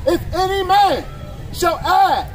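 A man loudly declaiming scripture outdoors, shouting it out in drawn-out phrases as a reader for street preachers. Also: very high-pitched, lively intonation, some chatter behind, poor audio. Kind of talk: loud, declamatory scripture reading. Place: outdoor waterfront brick plaza.

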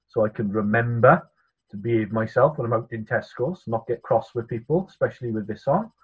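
A Welsh man in his thirties, a priest, giving a chatty school assembly talk from his study over a video call, with many filler pauses. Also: mid-range voice, breathy edge, rough recording. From Wales. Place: home study, indoors. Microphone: laptop webcam on video call.

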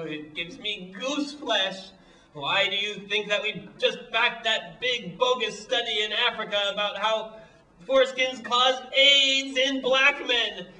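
Puppet-comedy villain: high-pitched ranting boss, slow gloating delivery, bullying, recorded roomy and off-mic. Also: male voice, pushed and bright, distant mic, heavily acted. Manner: theatrical, bullying, gleefully cackling.

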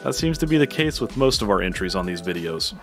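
A man's voice: low voice, big pitch swings, quick pace. A man giving scripted narration for a countdown video about cartoons. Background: music.

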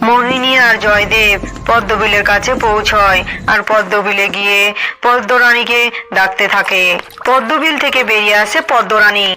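A woman's voice: mid-range voice, fairly even intonation, normal speed, bright, forceful tone, low-quality recording. A woman narrating a scripted fairy tale for a cartoon.

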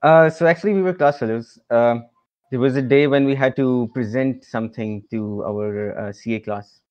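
A man at a middle pitch tells a story in a chatty way, full of fillers. He sounds amused by his own telling. His audio comes through dull and lo-fi. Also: normal speed, a little mellow. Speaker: podcast guest. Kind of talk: casual storytelling.

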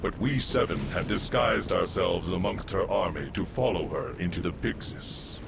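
A man's voice: low-pitched, normal speed, creaky, very noisy. A man playing an ancient priest spirit in a video game cutscene, solemn and grave, speaking in formal oratory.

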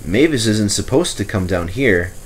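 A man's voice: lowish voice, lively intonation, normal speed, slight background noise, lightly acted. A man voicing a practical male engine driver in a storybook, matter-of-fact and persuasive. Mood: reasoning.

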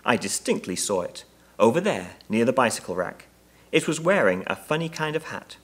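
A man voicing a fussy, well-meaning married gentleman in a storybook, with a proper, slightly hesitant manner. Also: mid-range voice, big pitch swings, normal speed, slightly rough. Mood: amazed, insistent.

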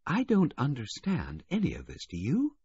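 A flighty, naive young woman, put on by a male narrator, pitched high with airy, breathless phrasing. The voice carries a husky grain. The recording is dry and dull. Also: wildly swooping pitch, normal speed, darkish tone, no room sound, exaggerated voice. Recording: dull lo-fi, dry close audio.

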